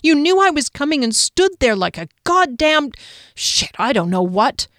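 A woman voicing a fiery, quick-tempered young woman rider in an audiobook, blunt and demanding. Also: a little high, sing-song, dry recording, studio quality, pitched above the performer's own voice. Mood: furious. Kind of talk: audiobook character dialogue.

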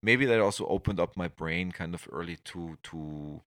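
Deep-voiced Austrian man, rambling. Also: moderate pace, a bit bright, no room sound, clean studio sound. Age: middle-aged. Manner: rambling, many restarts and fillers. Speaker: guest. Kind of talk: conversational interview.

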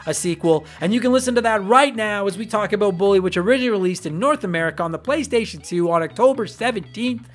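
A man with a high-pitched voice hosts a gaming podcast in relaxed, casual chat. He talks with eager enthusiasm and swears freely. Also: quick pace, few pauses. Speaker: podcast host.

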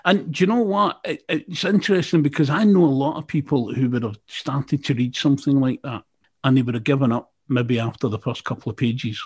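Husky Glaswegian man interviewing. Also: mid-range voice, fairly quick, darkish tone. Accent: Scottish, Glasgow. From Scotland, Glasgow. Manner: chatty, many fillers and restarts. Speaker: interviewer, radio presenter. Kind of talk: casual interview chat.

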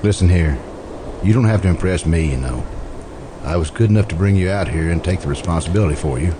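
A man playing a stern, impatient lawman with a gruff, scolding manner in an old-time radio suspense play. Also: low voice, fast talker, noisy background, close to an everyday voice.

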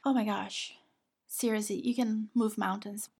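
A woman's voice: medium pitch, steady tone, measured pace, vocal fry, darkish tone, husky. A woman from Brazil with a Brazilian Portuguese accent, Portuguese her first language, hosting a motivational podcast in an encouraging, affectionate way. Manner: encouraging, affectionate, addresses listener as "my love". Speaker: podcast host and women's business coach.

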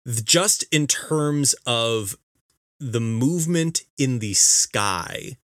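A man co-hosting a casual video game podcast, talking emphatically with halting explanations and exasperated teasing. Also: mid-range voice, expressive, a bit bright, no room sound, studio quality. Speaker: podcast co-host.

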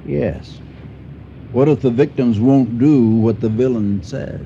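An actor playing a calm, shrewd elderly farmer, speaking in a measured, probing way in a radio drama. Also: male voice, mid-range voice. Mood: wary, probing. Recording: dull lo-fi radio recording, faint ambient noise.

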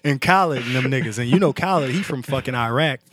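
A man's voice: medium pitch, fast talker, fairly bright tone, breathy edge, no echo. An American man co-hosting a casual podcast, bantering in a blunt, opinionated, slangy and profane way. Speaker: podcast co-host.

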